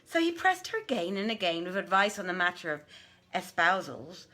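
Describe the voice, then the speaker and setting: A woman reading a literary story aloud in a theatrical, deliberate and expressive manner. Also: fairly low pitch. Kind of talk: dramatic literary story narration.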